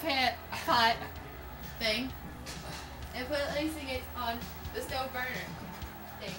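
A woman's voice: high voice, music in the background, poor audio. A young woman playfully hosting a homemade cooking show in a small home kitchen, teasing and bursting out now and then. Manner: bubbly, teasing, quick outbursts.

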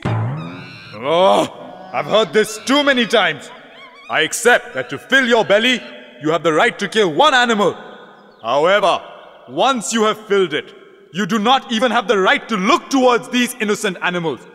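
An actor voicing a stern, theatrical cartoon rabbit commander with a commanding, menacing manner. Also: male voice, high-pitched, sing-song, moderate pace, bright, forceful tone, heavily acted. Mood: angry, commanding. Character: stern male rabbit commander enforcing jungle law. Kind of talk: animated cartoon character acting. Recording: long reverb, music behind.

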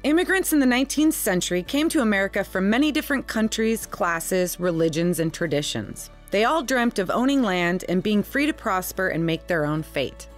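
A woman reads scripted voice-over for a local history series. Her mid-pitched voice rises and falls widely, with clear, careful enunciation. Faint music plays underneath. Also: animated, normal speed, hardly any pauses. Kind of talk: scripted narration.

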